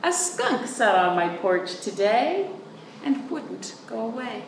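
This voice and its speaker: A woman reads a storybook for young children, swinging widely in pitch and stretching words in a sing-song way. Her pace is unhurried. She is recorded a little way from the mic in an echoey room. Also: very animated, creaky. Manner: pauses to explain words simply to children. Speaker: children's librarian, storytime reader. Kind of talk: expressive read-aloud storytime for young children. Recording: roomy echo, distant mic, faint noise.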